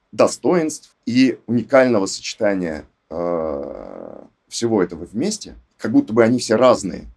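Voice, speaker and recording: A man with a low, mellow voice speaks slowly and reflectively. His tone is a little husky, and he often pauses and restarts his sentences as he thinks aloud. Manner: thoughtful, hesitant with many fillers. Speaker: podcast guest, team lead mentor. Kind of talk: reflective casual conversation.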